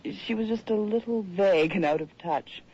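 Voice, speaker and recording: A woman's voice: medium pitch, normal speed, mellow, slightly rough, natural voice. An actress in a radio drama playing a moody, reflective woman telling her own story, on a dull, hissy recording. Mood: brooding, reflective. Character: moody, reflective city woman narrating her strange tale. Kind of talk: first-person radio drama narration.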